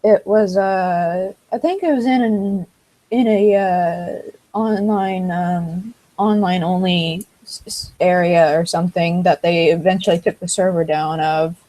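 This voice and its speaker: Woman, mellow voice, hesitant, halting casual chat, computer mic. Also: fairly low pitch, steady tone, low-quality recording. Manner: hesitant, many fillers and restarts. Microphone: computer microphone on a voice chat.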